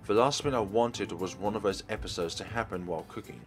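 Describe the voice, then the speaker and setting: A man's voice: fairly low pitch, a little fast, soft background music, natural voice. A man voicing a regretful, self-deprecating old man with formal phrasing, reading visual novel dialogue aloud. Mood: grave, explanatory.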